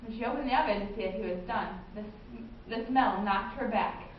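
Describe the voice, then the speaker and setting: A woman's voice: mid-range voice, brisk. A young adult woman narrating a fairy tale as a student in readers' theatre. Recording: echoey hall, distant mic, muffled, noisy.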